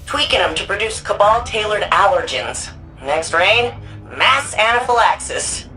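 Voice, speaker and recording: A woman's voice: medium pitch, expressive, measured pace, sharp tone, soft background music, low-quality recording. An actress playing a wry, anxious woman archivist and resistance hacker in a sci-fi video game, speaking in a casual, conversational way. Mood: grim, troubled. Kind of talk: video game mission dialogue.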